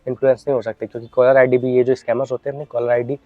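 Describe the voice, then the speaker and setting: A man's voice: medium pitch, flat delivery, racing, no echo, phone-quality sound. A man hosting an informal explainer, advising viewers directly in an instructive, repetitive way and mixing in English words. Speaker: host of an explainer video channel.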